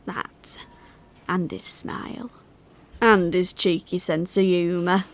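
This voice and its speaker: A woman's voice: on the low side, fairly even intonation, takes it slowly. A woman playing an older working-class factory worker in a confiding, wry monologue of fond reminiscence. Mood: fond, amused. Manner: chatty, confiding, wry, with dialect turns. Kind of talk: theatrical monologue, conversational reminiscence.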